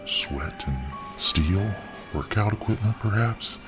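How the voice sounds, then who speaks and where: A man narrating a fiction story in a soft, ASMR style. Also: animated. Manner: slow, soft, deep. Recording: narration over clear music.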